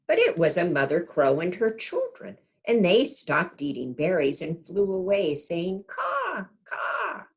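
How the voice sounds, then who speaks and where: A woman reading a picture book aloud to young children like a kindergarten teacher, adding explanatory asides and gentle questions to the listeners. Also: on the low side, big pitch swings, measured pace, few pauses.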